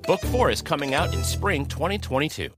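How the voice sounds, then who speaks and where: Male advert announcer, slightly high voice, very lively delivery, scripted promo, clear music behind. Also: fairly quick. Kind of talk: promotional read for a children's book.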